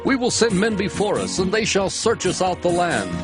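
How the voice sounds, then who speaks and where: An earnest Israelite man declares his words in a high voice. Music sounds clearly behind him in this dramatized scripture recording. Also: very animated, normal speed. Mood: eager. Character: eager Israelite man of the people. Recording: studio voice over music.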